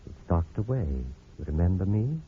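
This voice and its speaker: An actor playing a grave, considerate doctor in an old-time radio drama, speaking in a measured, explanatory way. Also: male voice, low-pitched, sing-song. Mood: calm, coaxing. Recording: muffled, hissy old radio recording.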